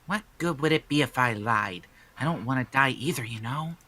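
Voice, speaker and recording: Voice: mid-range voice, animated, moderate pace, pushed and bright. A performer in an amateur game fandub voicing a theatrical young male schemer who feigns innocence. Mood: defensive, indignant. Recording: music behind the voice.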